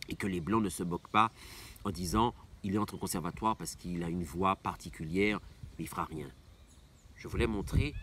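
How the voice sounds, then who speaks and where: A middle-aged man from Martinique, an opera singer answering interview questions with passion, repeating phrases for emphasis. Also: deep voice. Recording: faint background noise.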